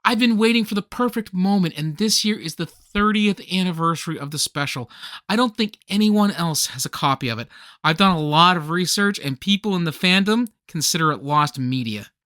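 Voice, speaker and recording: Man acting excitable, bright-voiced nerdy party host. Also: sits high, brisk, dry recording, clean studio sound. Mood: earnest, melodramatic. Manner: theatrical, geeky slacker banter. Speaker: actor in a radio comedy play. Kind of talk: scripted radio play comedy dialogue.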